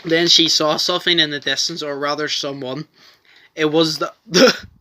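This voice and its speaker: Bright-voiced man on a casual comedy podcast. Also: fairly high pitch, fairly even intonation, normal speed, a little hiss or hum. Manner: breaks into giggles while reading. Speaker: podcast host. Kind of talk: casual chat and comic story reading.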